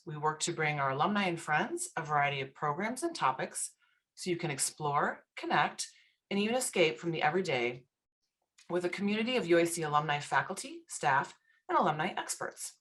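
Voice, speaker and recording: A woman's voice: low voice, expressive, a little fast, a bit bright. A woman hosting an online alumni event, reading a formal, upbeat welcome and introduction of the guest speaker. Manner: upbeat and formal, stumbles over a name. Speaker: host, alumni association director.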